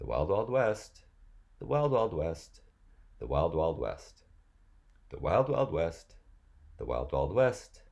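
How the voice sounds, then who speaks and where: A man's voice: deep voice, big pitch swings, slow pace, vocal fry, long pauses, a little mellow. A man reading rap lyrics aloud as spoken word, as host of the reading.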